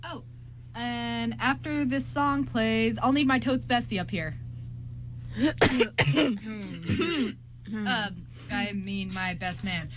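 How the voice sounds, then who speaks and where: An eager, awkward young groom acted by a woman with a high voice, speaking haltingly and kindly. It is an amateur radio play, with some noise on the recording. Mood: hesitant, friendly. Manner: hesitant, halting with ums. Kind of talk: amateur radio drama acting.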